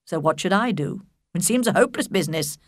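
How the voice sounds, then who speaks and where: A woman voicing a calm, wry older father who speaks in a slow, measured, deadpan way. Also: lowish voice, no room sound, clean studio sound. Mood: dry. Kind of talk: audiobook character voice.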